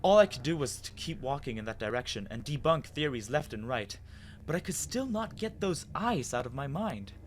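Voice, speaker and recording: A man voicing a confused, anxious amnesiac wanderer in a dramatic first-person story reading with expressive pauses. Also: medium pitch, a little hiss or hum, close to an everyday voice. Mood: puzzled, unsettled. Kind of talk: dramatic reading of fan fiction.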